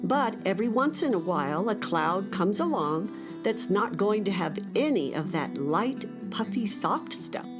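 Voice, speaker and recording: Unhurried, lively woman storyteller. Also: mid-range voice, over music. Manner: addresses young listeners, theatrical. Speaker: narrator. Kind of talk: scripted children's storytelling.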